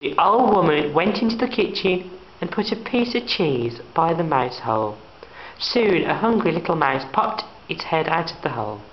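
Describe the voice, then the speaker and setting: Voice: medium pitch, very animated, moderate pace. A young adult student reading a children's story aloud. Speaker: student reader. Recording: echoey, muffled room, faint background noise.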